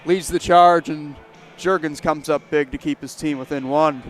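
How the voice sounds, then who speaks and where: A man calling a live hockey game, quickly naming each player and pass. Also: on the high side, fairly even intonation, faint music behind. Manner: brisk, names each pass as it happens. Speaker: play-by-play announcer.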